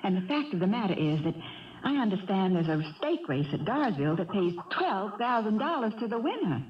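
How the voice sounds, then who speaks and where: A woman's voice: medium pitch, big pitch swings, brisk, a little hiss or hum, natural voice. Determined mother, played by a woman, old radio sound. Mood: urgent, determined. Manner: urgent, resolute, formal. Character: determined, desperate mother, middle-aged farm wife. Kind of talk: 1970s radio drama acting. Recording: dull 1970s radio recording.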